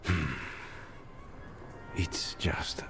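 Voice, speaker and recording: A man's voice: very slow, fairly bright tone, roomy sound, faint music behind. A man voicing a grave, brooding, vengeful assassin in a video game, speaking tersely. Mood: bitter, subdued.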